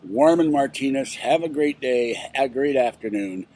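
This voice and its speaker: A man's voice: medium pitch, lively intonation, normal speed, a little mellow, slight background noise. An older man from Pittsburgh telling a rambling, indignant story straight to camera in a casual video blog. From Pittsburgh, USA. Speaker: vlogger. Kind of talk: casual vlog rant.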